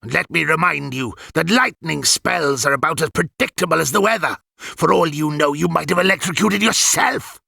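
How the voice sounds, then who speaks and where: A man's voice: fairly high pitch, moderate pace, gravelly, bright, forceful tone, no room sound, studio quality, pitched above the performer's own voice, heavily acted. A man voicing a fussy, exasperated old male wizard who rants pompously.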